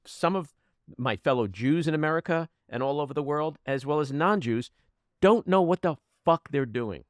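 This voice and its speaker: A man's voice: medium pitch, no room sound, clean studio sound. A man in his sixties hosting a casual political conversation. He talks passionately and bluntly, swears freely, and pokes fun at his own age. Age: 60s. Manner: self-mocking about his age. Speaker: podcast host.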